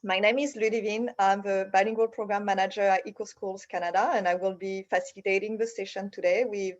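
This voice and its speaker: A woman with a French accent hosts an online session in a medium-pitched voice. Her delivery is a little halting, and the call audio sounds slightly muffled. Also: fairly even intonation. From France. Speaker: session facilitator, program manager. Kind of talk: welcoming introduction to an online session. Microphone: video call.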